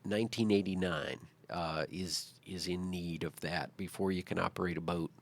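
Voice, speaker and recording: A man's voice: low-pitched, steady tone, normal speed, vocal fry, no echo. A middle-aged man, chatting casually on a talk show in a folksy, conversational way. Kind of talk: casual chat.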